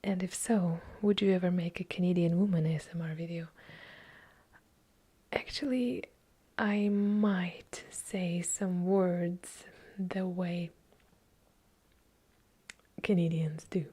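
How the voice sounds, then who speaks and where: A woman with an Eastern European accent whispering softly through a casual ASMR question-and-answer chat, correcting herself now and then. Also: lowish voice, steady tone, slightly rough. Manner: soft unvoiced whispering, unhurried, chatty with small self-corrections.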